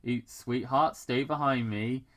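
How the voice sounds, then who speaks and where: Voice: medium pitch, steady tone, unhurried, fairly bright tone, lightly acted. A reader voicing a protective father, a police officer, in fan fiction, with blustering, stumbling warnings. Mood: defiant.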